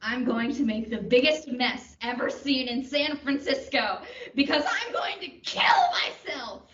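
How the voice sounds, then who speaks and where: A roomy, distant recording of a crazed self-confessed killer played by a young woman. The voice is rather high and wildly melodramatic, full of boastful menace in comic radio-play style. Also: animated, measured pace, fairly bright tone, slight background noise. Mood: frantic. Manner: melodramatic, theatrical. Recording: dull, roomy, distant microphone.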